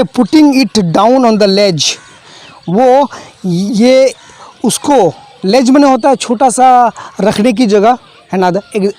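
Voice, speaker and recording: A man's voice: high voice, a little mellow. A young man teaching a textbook play, explaining each phrase, repeating himself and adding fillers.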